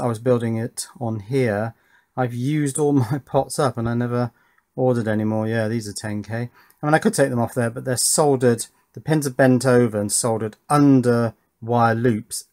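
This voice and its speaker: A man's voice: mid-range voice, a little mellow. A man giving casual hands-on commentary as an electronics hobbyist, thinking aloud while he works. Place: indoors at a workbench.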